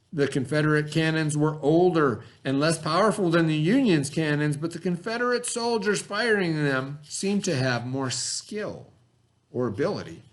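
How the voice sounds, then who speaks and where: A man reading aloud like a teacher to pupils, pausing to explain words and put questions to his listeners. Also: on the high side, a bit bright. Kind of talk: educational read-aloud with asides and questions.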